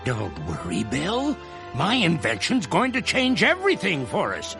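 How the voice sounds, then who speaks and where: An actor playing a kindly, worried older male inventor with a gravelly, wavering voice, from a film soundtrack. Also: on the high side, wildly swooping pitch, normal speed, in a large hall, soft background music, full character voice. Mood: reassuring.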